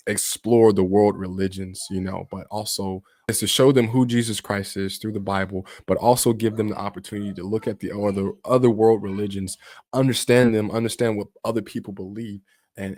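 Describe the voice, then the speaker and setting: A man's voice: fairly low pitch, a little mellow, no room sound, studio quality. A man hosting a reaction video, giving casual commentary in an informal, repetitive way. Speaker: YouTube reaction host.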